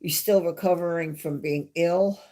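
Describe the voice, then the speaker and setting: A woman with a New York accent hosting a casual live stream, chatting in a rambling way and laughing softly now and then. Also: lowish voice, steady tone, moderate pace. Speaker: livestream host.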